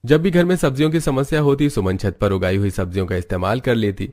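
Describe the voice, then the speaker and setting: A man's voice: mid-range voice, quick pace, a little mellow. A man narrating a scripted moral story, with noise in the recording.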